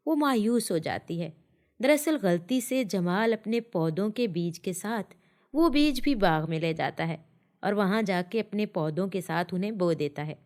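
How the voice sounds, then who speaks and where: A woman's voice: lowish voice, a little mellow. A woman narrating a scripted children's story as a storyteller.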